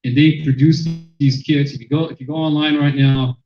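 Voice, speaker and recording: A man giving a public lecture as a sociologist, with a loud voice and many fillers and restarts. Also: fairly high pitch, flat delivery, normal speed. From Mexican-American. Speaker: sociologist presenter. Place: lecture room. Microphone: handheld microphone, then none. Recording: echoey hall, dull sound.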